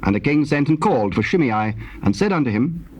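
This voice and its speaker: Casting: male narrator for solemn scripture, mid-pitched and husky, with lively intonation. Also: a little fast. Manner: formal storytelling.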